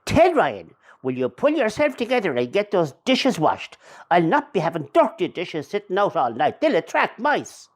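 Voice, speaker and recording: Actress as low-voiced, bossy wife. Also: female voice, very animated. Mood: scolding. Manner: brisk, nagging. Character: bossy, scolding late wife, imagined by her widower. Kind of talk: radio play acting.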